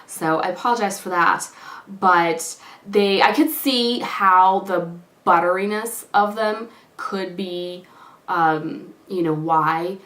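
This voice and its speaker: A woman talks casually to her viewers in a somewhat low voice, a little hoarse and crackly from a lingering cold. She gushes and rambles with plenty of "like" and "you know". The sound has a roomy echo around it. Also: takes it slowly, fairly bright tone. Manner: chatty, gushing, many fillers like "like" and "you know". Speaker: beauty vlogger. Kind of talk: casual product review to camera.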